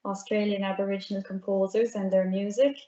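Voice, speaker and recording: Flat-toned young Irish woman, roomy audio. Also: mid-range voice, fairly quick. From Ireland. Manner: soft-spoken, with frequent ums and light laughs. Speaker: guest, music PhD student. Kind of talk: casual interview answers. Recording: roomy, dull remote audio.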